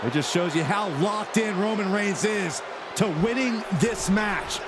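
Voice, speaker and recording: A man commentating on a wrestling match in an animated sportscasting style. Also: high-pitched, measured pace. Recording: very noisy arena crowd. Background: roaring crowd, slams.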